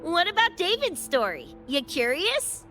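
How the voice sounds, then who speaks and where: A woman's voice: extremely high pitch, wildly swooping pitch, measured pace, pushed and bright, heavily acted. A woman voicing a brash, cocky young mercenary with slangy, streetwise swagger in a video game. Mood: breezy, enthusiastic. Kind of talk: animated video game voice acting. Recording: music under the voice.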